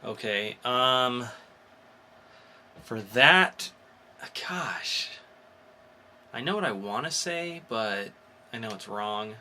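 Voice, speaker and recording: Bright, forceful-voiced man podcaster. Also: mid-range voice, expressive, drawn-out words, pauses often, slight background noise. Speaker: podcast co-host. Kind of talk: casual banter.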